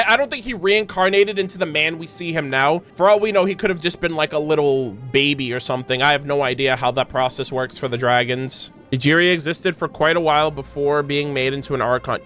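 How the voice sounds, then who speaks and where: A man's voice: fairly high pitch, bright, forceful tone. A man giving casual, off-the-cuff commentary as a gaming content creator, rambling as he thinks aloud. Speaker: video game reaction content creator. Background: background music.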